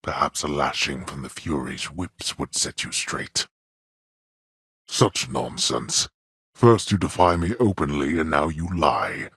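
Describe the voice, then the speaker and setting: An actor playing a stern, contemptuous god-king of the underworld in a video game, haughty and commanding, with a deep, husky voice. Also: expressive, slow, a bit bright, dry recording, studio quality, heavily acted. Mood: scornful.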